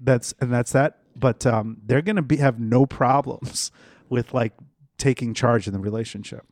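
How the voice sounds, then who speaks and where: A man's voice: mid-range voice, moderate pace, a little mellow, no echo. Man hosting a podcast, dry sound. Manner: teasing, probing questions. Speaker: podcast host. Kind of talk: casual interview chat.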